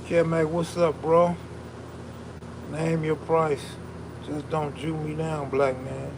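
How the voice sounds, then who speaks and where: A man's voice: fairly high pitch, level tone, slow pace, noisy background. A man hosting a casual live stream, chatting in a laid-back, slangy, streetwise way with a soothing, soulful voice and reading comments aloud.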